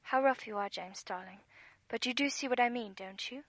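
Woman playing an eerie, high-voiced caller. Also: normal speed, dry recording, natural voice. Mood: insistent, needling. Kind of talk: radio play acting.

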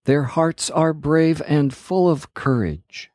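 A man's voice: a little high, slow pace, a little mellow, no room sound, voice pushed up. A male narrator puts on a brave young princess who speaks in a raised, eager voice. She explains things earnestly and presses with urgency, in a plain storybook reading. The sound is dry and close. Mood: explaining earnestly. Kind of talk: storybook character voice.

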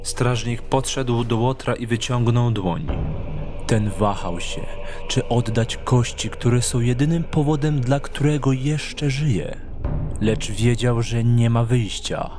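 A male narrator reads in a scripted storytelling style. His voice sits at a medium pitch and moves slowly. Music is clearly present behind him.

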